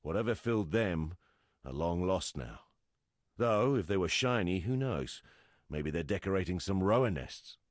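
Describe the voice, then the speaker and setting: An actor playing a gruff, weary exile fighter in a fantasy video game, a plain-spoken, wry camp leader with a gravelly voice. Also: male voice, deep voice, lively intonation, moderate pace, dry recording, clean studio sound. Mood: practical, cautionary. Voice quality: gravelly, husky. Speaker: non-player quest character.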